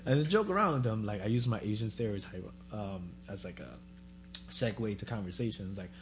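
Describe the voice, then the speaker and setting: Man, mid-pitched, relaxed and joking, faint hum behind him. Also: a little fast. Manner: relaxed and joking, drops his voice low in asides. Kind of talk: casual chat.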